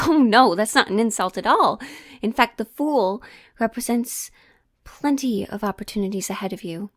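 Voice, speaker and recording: A woman playing a witch who reads tarot in an audio roleplay, mysterious and teasing, speaking deliberately and theatrically to the listener. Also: on the high side, fairly bright tone, no room sound, clean studio sound. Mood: encouraging, playful. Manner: slow, deliberate, theatrical, with pondering pauses.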